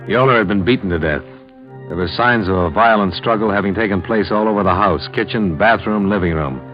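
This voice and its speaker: An American actor playing a steady, matter-of-fact insurance investigator in a 1950s radio crime drama. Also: male voice, deep voice, highs cut off, lightly acted. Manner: clipped, matter-of-fact narration. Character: steady, dogged male freelance insurance investigator narrating his case. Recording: vintage radio recording with music behind.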